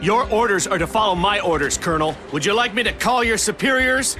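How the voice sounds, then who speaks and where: A man's voice: sits high, fairly quick, pushed and bright. A man playing a cold, curt, domineering official in charge of a secret operation in a video game. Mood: smug, threatening. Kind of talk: video game cinematic acting. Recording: music behind the voice.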